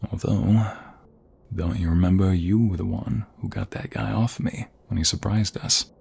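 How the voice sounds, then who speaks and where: A man playing a protective, affectionate mobster fiancé in an intimate ASMR roleplay, with a husky, breathy voice that often drops toward a whisper. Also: low-pitched, a little mellow, heavily acted. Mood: admiring, encouraging. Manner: affectionate, teasing, slow and murmuring. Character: protective, tender mobster fiancé soothing his beloved.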